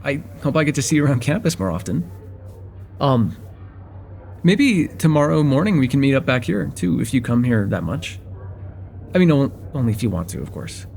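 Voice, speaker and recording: A man's voice: fairly high pitch, creaky, a little hiss or hum. A man playing a friendly, teasing college-age guy in a casual, hesitant slice-of-life ASMR roleplay with a close friend. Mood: shy, hopeful.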